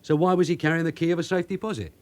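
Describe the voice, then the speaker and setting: An actor playing a streetwise, bossy London detective sergeant in a radio drama, with a London accent and brisk, colloquial, teasing talk. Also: male voice, on the high side, a little mellow, natural voice. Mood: wry, bossy.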